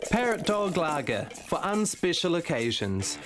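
A man's voice: on the high side, big pitch swings, measured pace, over music. A man reading a scripted radio advert as the announcer.

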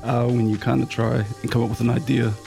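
A man's voice: mid-range voice, flat delivery, quick pace, vocal fry, dark tone, soft background music. A man in his thirties with a New Zealand accent, a guest answering in a laid-back, hesitant way. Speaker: guest artist. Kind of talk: casual interview chat.

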